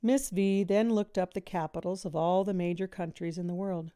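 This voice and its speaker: A woman reading a children's story aloud in expressive, measured, clearly phrased narration. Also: fairly low pitch, dry recording, clean studio sound. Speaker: audiobook reader, teacher.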